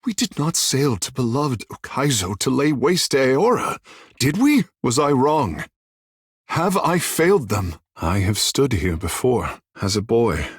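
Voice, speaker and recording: Fantasy game companion: a flamboyant, vain godlike fish-man, very animated, husky-edged, formal and flirtatious, recorded dry. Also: medium pitch, a little slow, no room sound. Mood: earnest, pleading, then reverent, wistful. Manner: flamboyant, lofty formal phrasing, theatrical. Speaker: player's companion character. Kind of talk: fantasy video game voice acting.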